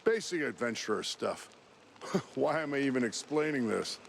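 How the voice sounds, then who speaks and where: An actor playing a dazed, cocky cartoon mouse movie action hero who rambles in laid-back bro talk. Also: male voice, medium pitch, animated, normal speed, vocal fry, slight background noise. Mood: breezy. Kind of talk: cartoon game acting.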